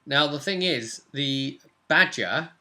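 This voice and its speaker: A man's voice: medium pitch, animated, slow pace, pushed and bright. A young man casually recapping a tabletop role-playing session as game master. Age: young adult. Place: small furnished room at home.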